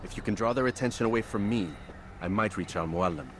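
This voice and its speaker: An actor playing a stern, resolute medieval assassin, terse and commanding, in a dramatic video game cutscene. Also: male voice, on the low side, quick pace, noisy background, natural voice.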